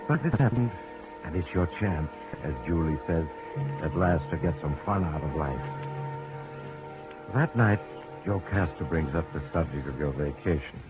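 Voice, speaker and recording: An actor playing an ominous, knowing narrator, deliberate and insinuating, in old-time radio suspense style. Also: male voice, rumbling low, lively intonation. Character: ominous knowing narrator addressing the protagonist as you. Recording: dull vintage radio recording with music.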